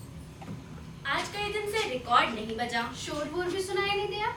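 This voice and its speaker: A very high-voiced young daughter, acted by a woman in a stage play. She sounds curious and doubting. The recording is echoey and distant, with clear background noise. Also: lively intonation, a little slow. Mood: doubtful, curious. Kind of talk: Hindi stage play acting.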